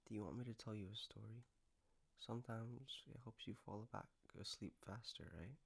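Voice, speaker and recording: A man playing a gentle, doting partner in an intimate comfort roleplay, speaking tenderly and haltingly with a playful touch. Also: fairly low pitch, fairly even intonation, gravelly, husky. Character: gentle, doting male partner soothing a lover after a nightmare.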